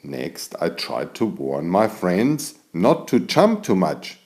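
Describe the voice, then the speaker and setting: A man narrating a scripted bedtime story for small children in an expressive, exclamatory way. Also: low voice, slow pace. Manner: expressive, exclamatory, addressing young listeners.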